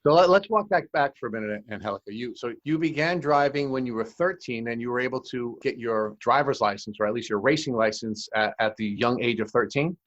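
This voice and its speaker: A man hosting a podcast, reading a formal introduction and then asking interview questions in a measured way. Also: medium pitch, a bit bright, no echo, muffled recording. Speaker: podcast host.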